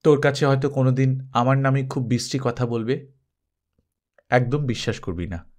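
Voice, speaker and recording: A man's voice: mid-range voice, moderate pace, darkish tone, natural voice. A man voicing an anxious, devoted mother in an audiobook story, earnest and pleading. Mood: earnest reassuring. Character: anxious, devoted young mother writing a pleading farewell letter.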